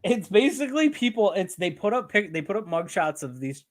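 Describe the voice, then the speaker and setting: A man's voice: high-pitched, very animated, brisk. A man co-hosting a podcast, rambling through jokey stories in casual, profane banter. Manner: joking, rambling storytelling. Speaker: wrestling podcast co-host.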